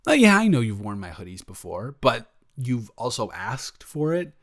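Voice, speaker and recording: A man's voice: medium pitch, sing-song, normal speed. A man acting as a sheepish, rambling young roommate guy. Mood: flustered, explaining. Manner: hesitant, rambling, stammering, repeating words. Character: sheepish, awkward, good-natured male roommate, secretly smitten. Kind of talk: improvised roleplay audio, casual conversational acting.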